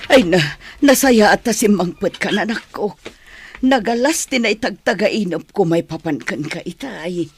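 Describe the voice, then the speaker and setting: A woman's voice: mid-range voice, animated, normal speed, slightly rough. An actress playing a worried, caring older mother fussing over her son in a radio drama. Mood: fretful, caring. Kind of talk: Ilocano radio drama acting.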